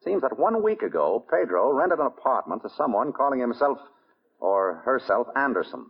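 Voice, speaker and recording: Muffled old-time radio sound carries an urbane gentleman sleuth. His voice is husky and swings easily in pitch as he questions with dry, wry control, in polished 1940s radio style. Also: big pitch swings, normal speed. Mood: probing, controlled. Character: suave, wry gentleman sleuth running a murder investigation. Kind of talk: 1940s radio drama acting.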